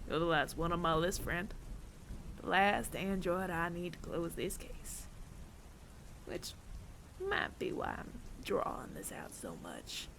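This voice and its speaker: Casting: male actor as world-weary sci-fi bounty hunter for audio roleplay, menacing and taunting, gravelly near-whisper, widely swinging intonation. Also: high voice, a bit bright, exaggerated voice. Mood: cold, gloating. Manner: slow, deliberate, taunting, with long dramatic pauses. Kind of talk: ASMR audio roleplay, addressing the listener.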